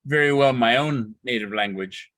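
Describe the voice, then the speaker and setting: A man interpreting a discussion into English, steady, with occasional restarts. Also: mid-range voice, measured pace, no echo.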